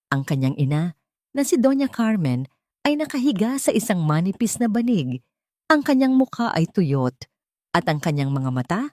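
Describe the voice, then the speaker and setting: Low-pitched woman narrating dramatic story. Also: dark tone, no echo.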